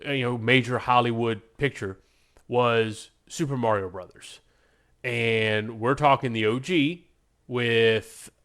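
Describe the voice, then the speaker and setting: A man's voice: medium pitch, a bit bright. A man hosting a podcast in a loose, informal, conversational way, rambling as he goes. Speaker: podcast host. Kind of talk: casual podcast chat.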